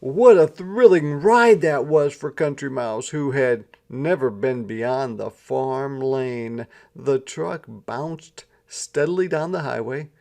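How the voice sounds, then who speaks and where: A man reading a children's story aloud as an expressive storyteller, with deliberate, dramatic phrasing. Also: mid-range voice, creaky. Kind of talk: expressive scripted storybook narration.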